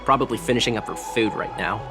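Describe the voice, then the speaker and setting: A man's voice: fairly low pitch, fast, music in the background, close to an everyday voice. Smug, scoffing young shopkeeper played by a man. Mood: grudging. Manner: scoffing, smug, exaggerated exclamations. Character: cocky, greedy young male shopkeeper, sneering but cowardly. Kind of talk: video game character dialogue.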